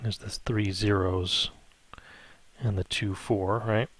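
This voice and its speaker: Male math instructor, lowish voice, dry close sound, informal tutorial delivery, thinks out loud and frequently tags on check-in questions. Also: steady tone, slow, no echo. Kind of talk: improvised step-by-step spreadsheet tutorial.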